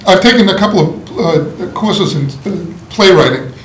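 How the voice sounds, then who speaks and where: A man's voice: high-pitched, moderate pace. A man disagreeing in a casual group discussion, with hesitant fillers. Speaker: writers' group member. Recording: echoey room, faint noise.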